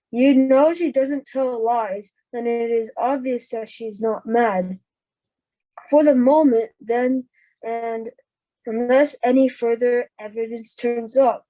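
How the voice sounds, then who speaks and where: Woman playing a slow, mellow, thoughtful professor. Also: on the high side, no echo, poor audio. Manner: measured, questioning, emphatic. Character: thoughtful, deliberate professor, a logical questioner. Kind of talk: amateur radio play reading. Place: indoors, on a group video call.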